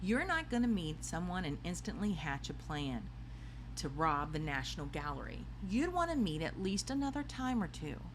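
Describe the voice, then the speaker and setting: A woman reading the part of a bright, inquisitive girl sidekick in a storybook. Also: lowish voice, sing-song, normal speed, background noise. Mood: inquisitive, reasoning.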